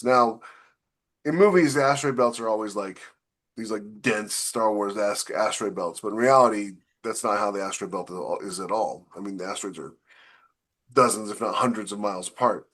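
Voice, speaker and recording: A man's voice: fairly low pitch, lively intonation, fairly quick, sharp tone. A man co-hosting a podcast, chatting casually and explaining things in a loose, rambling way. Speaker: podcast co-host, science fiction author. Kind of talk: casual panel chat.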